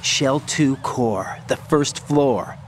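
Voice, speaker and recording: Earnest young agent, medium pitch. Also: male voice, extremely slow. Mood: alert, focused. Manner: earnest, serious. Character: earnest young male field agent, questioning and idealistic. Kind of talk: video game cutscene acting. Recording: faint ambient noise behind voice.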